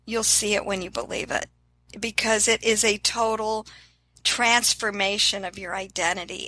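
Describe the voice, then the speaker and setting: A woman's voice: fairly high pitch, sharp tone, no echo, low-quality recording. An older woman talking as an interview guest, upbeat and encouraging in a casual motivational tone.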